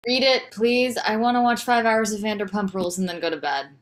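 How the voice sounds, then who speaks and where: A woman playing a no-nonsense wife and mother with casual, deadpan delivery in a comedy table read. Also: medium pitch, fairly quick, natural voice. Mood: defensive, dry.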